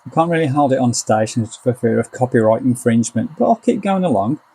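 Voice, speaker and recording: Gravelly-voiced English man, casual. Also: mid-range voice, lively intonation, fairly quick, mellow. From United Kingdom. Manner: colloquial, easygoing step-by-step commentary. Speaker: gadget reviewer. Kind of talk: casual hands-on product review.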